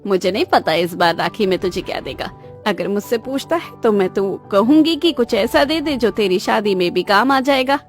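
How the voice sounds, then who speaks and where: A woman voicing a weary, practical middle-aged mother of a poor family in a cartoon, measured and matter-of-fact. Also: medium pitch, lively intonation, soft background music, close to an everyday voice. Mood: reflective. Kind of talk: cartoon moral-story dialogue in Hindi.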